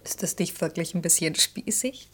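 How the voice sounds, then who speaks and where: A woman's voice: fairly low pitch, lively intonation, moderate pace, a bit bright. Cheeky, self-assured young German woman. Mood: cheeky, curious. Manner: pert, ironic, challenging. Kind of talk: naturalistic German radio play dialogue.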